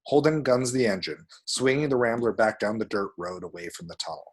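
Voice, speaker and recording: A man with a husky voice narrating action descriptions at a screenplay table read. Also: on the low side, fairly quick.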